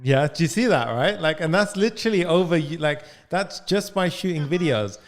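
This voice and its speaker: A man in his twenties coaching students on a live video call, talking energetically with lots of "like" and "right?", close to a studio mic. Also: a little high. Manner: energetic, fast, full of "like" and "right?". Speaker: coding coach. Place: home studio room. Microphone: studio mic on boom arm, headphones.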